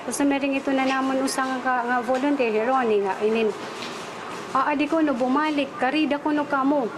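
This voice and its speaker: Female witness, Waray accent, high voice, unscripted account, clearly noisy on-location recording. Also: fairly even intonation, moderate pace. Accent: Waray, Philippines. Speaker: interviewed witness. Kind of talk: spontaneous interview account. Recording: noisy on-location interview sound.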